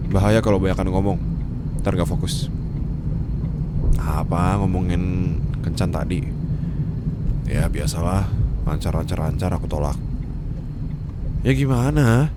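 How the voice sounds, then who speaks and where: Deep-voiced curt young boss, acted by a man. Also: a little slow, long pauses. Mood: placating. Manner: brusque, dismissive, exasperated. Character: curt, haughty young male boss, reluctant blind-dater. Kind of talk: Indonesian ASMR boyfriend roleplay, acted dialogue. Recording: clear vehicle ambience noise behind voice. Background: car ambience sound effects.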